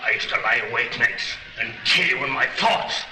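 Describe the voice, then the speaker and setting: An actor playing a bitter, sardonic ex-convict burglar in a 1930s crime film, menacing and taunting in tough slang. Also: male voice, medium pitch, lively intonation, moderate pace, lightly acted. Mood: menacing, vengeful. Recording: noisy, echoey 1930s film soundtrack.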